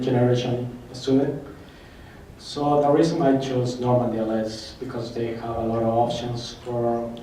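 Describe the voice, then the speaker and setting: Shy young man with a mellow voice. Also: medium pitch. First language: Spanish. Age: young adult. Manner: shy, halting. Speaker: student panelist. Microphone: handheld mic. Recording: echoey room from far off, faint noise.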